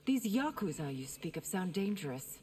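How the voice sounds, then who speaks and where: Menacing lady warrior for fighting game, swoopy expressive voice, lots of noise and clicking. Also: fairly low pitch, normal speed. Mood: wary. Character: menacing, haughty female fighter. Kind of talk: video game fight intro dialogue. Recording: loud background noise and clicks.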